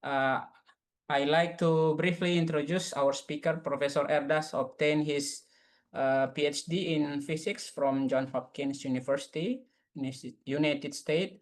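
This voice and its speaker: A young man opens an online physics seminar as its moderator. He reads the introduction carefully, pausing often on fillers. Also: a little high, steady tone. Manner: careful, halting, frequent fillers. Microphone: video call.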